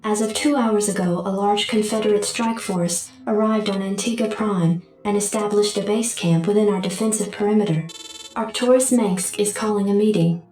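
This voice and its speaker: A woman voicing a computer that announces alerts in a flat, announcing way. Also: mid-range voice, moderate pace, heavy reverb, recorded from a distance, faint music behind, lightly acted, poor audio.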